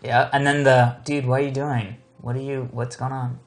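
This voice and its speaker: A man's voice: medium pitch, little pitch movement, normal speed. A man co-hosting a show, chatting casually in a loose, conversational commentary. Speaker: show co-host.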